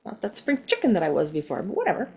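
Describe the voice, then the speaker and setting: A woman in her forties chatting casually, expressive and playful, reacting a lot to others. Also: mid-range voice, fast talker, slight background noise. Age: 40s. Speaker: podcast co-host. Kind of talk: casual chat.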